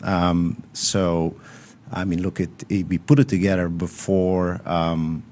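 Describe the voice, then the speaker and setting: A middle-aged man with a Belgian accent, a company CEO explaining things in a measured, casual interview. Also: sits low, level tone, darkish tone. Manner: measured, explanatory, frequent fillers. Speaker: tech CEO guest.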